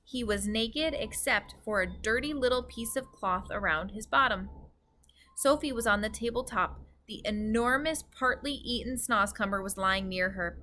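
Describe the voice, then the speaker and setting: Bright-voiced woman reading a children's story. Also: a little high, normal speed. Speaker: read-aloud storyteller. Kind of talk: expressive children's story narration. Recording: faint background noise.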